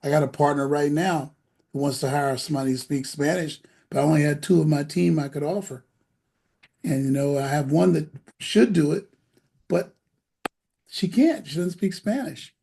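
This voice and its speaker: A man's voice: a little high, moderate pace, vocal fry, dark tone. A man hosting a casual interview, talking to parents in a folksy, persuasive, rambling way. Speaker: host of an education program show.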